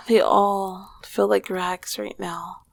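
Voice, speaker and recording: Woman as a breathy, crackling, regal succubus queen. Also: medium pitch, fairly even intonation, dry recording, heavily acted. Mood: wistful, adoring. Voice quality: breathy, often dropping into whisper. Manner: slow, doting, eloquent. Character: ancient succubus queen, fallen angel, regal, lonely, tenderly affectionate. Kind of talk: intimate ASMR roleplay.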